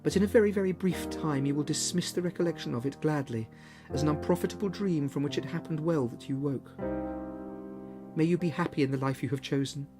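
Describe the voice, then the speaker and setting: Audiobook role: narrator voicing a gentle, firm young woman, slightly raised pitch, soft delivery. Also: brisk, music in the background, close to an everyday voice. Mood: sad, resolute. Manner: soft, steady, earnest.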